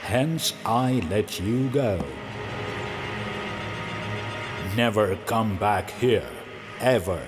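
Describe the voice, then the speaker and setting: A proud cartoon lion, played by a man, speaking slowly and pompously with theatrical bluster. Music plays behind him in this animated children's story. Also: on the low side. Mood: amused, haughty.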